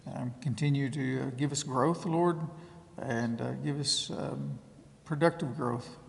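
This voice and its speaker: A man leading an improvised public prayer in a council chamber, halting between short phrases. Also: mid-range voice. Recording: reverberant hall with clear background noise.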